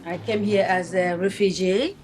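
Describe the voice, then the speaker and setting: A woman from DR Congo, a hair braider, talking in an interview, animated and often laughing. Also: mid-range voice, moderate pace, a little hiss or hum.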